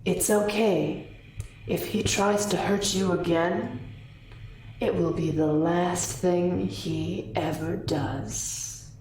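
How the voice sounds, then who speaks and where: Storybook fox-spirit woman, played by a female narrator: fierce, proud, very unhurried, heard in a roomy, distant recording. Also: on the low side, fairly even intonation, a little mellow. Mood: protective. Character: fierce, menacing kitsune woman, proud and vengeful. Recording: roomy echo, distant mic, faint noise.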